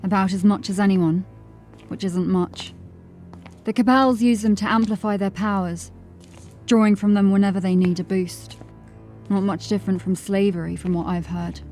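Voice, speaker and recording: A woman acting a sober, thoughtful city watch officer in a fantasy audio drama, speaking in a measured way. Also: mid-range voice, steady tone, a little mellow, faint music behind, natural voice. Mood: concerned.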